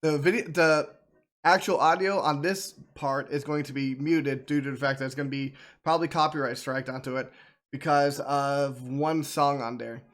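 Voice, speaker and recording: A man's voice: on the high side, steady tone, normal speed, fairly bright tone. A man hosting a gameplay stream, chatting casually to viewers in a rambling, repetitive way. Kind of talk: casual chat to viewers, reacting to game cutscenes.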